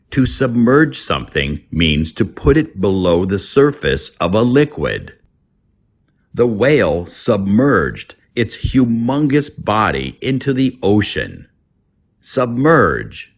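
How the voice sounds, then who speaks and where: A man reading a scripted English lesson aloud as a teacher, deliberately, word by word. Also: fairly low pitch, creaky, husky. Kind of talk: scripted lesson narration, slow read-aloud.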